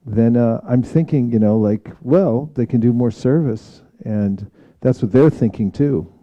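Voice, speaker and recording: A man speaking as a spiritual teacher in an informal devotional discussion, reflective and halting in his phrasing. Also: mid-range voice, muffled recording. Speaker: spiritual teacher leading a scripture class.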